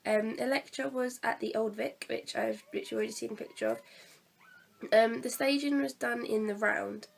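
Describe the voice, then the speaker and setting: A teenage girl with a croaky voice from a cold, chatting casually and hesitantly to camera as a vlogger, pausing often to fill gaps. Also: on the high side, fairly even intonation. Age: teens. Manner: chatty, hesitant, many fillers. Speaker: vlogger reviewing a theatre play. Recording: distant from the mic.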